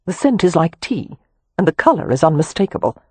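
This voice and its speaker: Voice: mid-range voice, very animated, fairly quick, mellow, husky, no echo. A narrator voicing a practical young woman who explains things in a matter-of-fact way.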